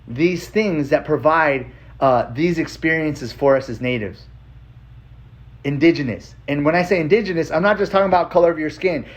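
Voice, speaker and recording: An American man telling his own life story casually, with a blunt, emphatic manner and short repeated phrases. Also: on the high side.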